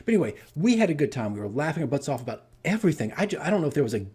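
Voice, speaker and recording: A man telling a casual, animated story as a game master on a vlog, rambling and repeating his phrases. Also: a little high, rapid-fire, breathy edge. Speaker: tabletop game master recounting his campaign.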